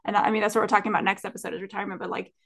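A woman's voice: mid-range voice, little pitch movement, racing, gravelly, fairly bright tone. A woman co-hosting a podcast, chatting casually and enthusiastically. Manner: enthusiastic, upbeat. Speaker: podcast co-host.

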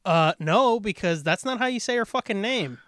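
A podcast co-host with a very animated delivery that swings widely in pitch. The talk is casual, slangy banter with plenty of swearing and mock combativeness, captured close and dry. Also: moderate pace, no echo. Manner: foul-mouthed, slangy, combative joking. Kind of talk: casual banter about movies.

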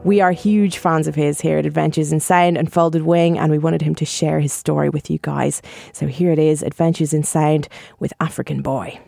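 Low-voiced woman hosting a podcast. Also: steady tone, normal speed, gravelly, dark tone. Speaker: podcast host. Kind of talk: scripted podcast intro.

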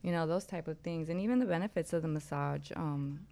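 Low, creaky woman co-host. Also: brisk, mellow. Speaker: radio show co-host. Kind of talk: casual, loosely worded conversation.

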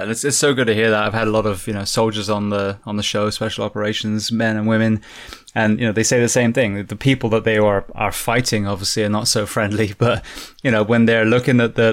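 A man's voice: lowish voice, a bit bright. A man hosting an interview show, asking questions in a casual, conversational way and often repeating words as he thinks. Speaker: podcast host.

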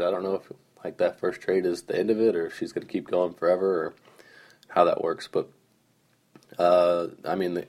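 Man, young, hoarse, groggy, very low voice, rambling, casual solo podcast. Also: darkish tone. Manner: rambling, self-deprecating, lots of fillers. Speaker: podcast host.

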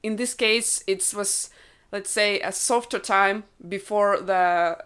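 A woman's voice: medium pitch, fairly even intonation, slow, a bit bright, clean tone. A woman in her thirties from Ukraine, a native Russian speaker, chatting as a podcast guest and explaining things thoughtfully with many fillers. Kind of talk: casual chat.

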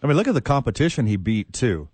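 A man's voice: medium pitch, big pitch swings, fast talker, darkish tone, slightly rough, dry recording. Dry-witted male sports radio co-host. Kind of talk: comedic sports radio chat.

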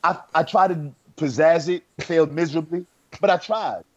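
A man's voice: a little high, moderate pace, dull audio. A man co-hosting a podcast in casual banter, boastful and full of brash trash talk. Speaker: podcast co-host.

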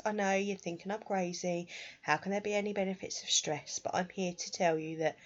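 A woman's voice: lowish voice, breathy edge. A woman hosting her own podcast while she has a cold, sounding nasal and a bit stuffy, talking in a chatty way and laughing at herself. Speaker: podcast host. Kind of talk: solo podcast talk. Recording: dull lo-fi sound.

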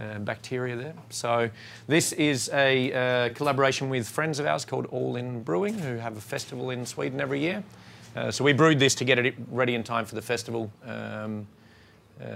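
Gravelly Australian brewer talking to a crowd. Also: male voice, medium pitch. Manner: relaxed and halting, lots of ums. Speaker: brewery co-owner. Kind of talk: casual talk to a shop crowd.

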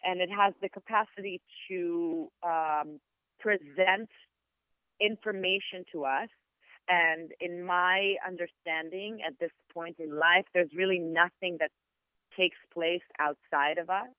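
A woman with an Israeli accent, whose first language is Hebrew, speaks as a podcast guest in a smooth, even voice. She explains things in a measured, searching way, often pausing and restarting. The sound is thin and phone-like, with a dry, close feel. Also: lowish voice, no echo. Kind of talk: reflective explanatory interview talk. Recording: tinny phone-like line, dry.

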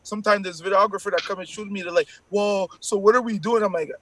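High-pitched French Canadian rapper chatting. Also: male voice, fairly even intonation, fast talker, fairly bright tone, slight background noise. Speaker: rapper, interview guest. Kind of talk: casual slang-filled interview chat.

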